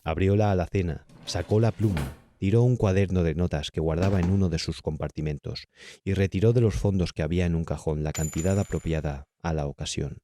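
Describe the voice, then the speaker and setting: A man's voice: low voice, a little fast, dark tone, slight background noise. A man with a Castilian Spanish accent reading a horror audiobook as a dramatic narrator.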